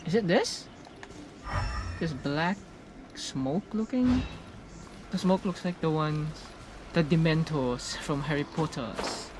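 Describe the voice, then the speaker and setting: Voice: mid-range voice, slow, long pauses, background noise. A streamer giving casual reaction commentary while playing a video game. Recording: loud game music behind. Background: video game music and dialogue.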